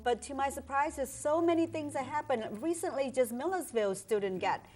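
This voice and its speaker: A woman's voice: sits high, darkish tone, slight background noise. A woman guest from Vietnam, sincerely sharing personal experience in an interview. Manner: sincere, personal, slightly halting. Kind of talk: interview answers.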